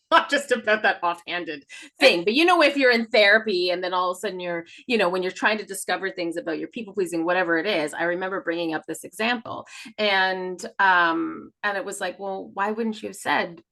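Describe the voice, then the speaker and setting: Bright-voiced woman chatting candidly. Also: fairly low pitch, fairly quick, rough recording. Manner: talkative, animated retelling with acted-out dialogue; candid, swears freely. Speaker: podcast co-host. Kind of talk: casual confessional chat.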